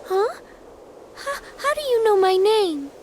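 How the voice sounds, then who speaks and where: A woman's voice: extremely high pitch, big pitch swings, takes it slowly, a little hiss or hum, exaggerated voice. A woman voicing a polite, earnest young woman in a game cutscene. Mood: puzzled. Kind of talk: video game cutscene acting.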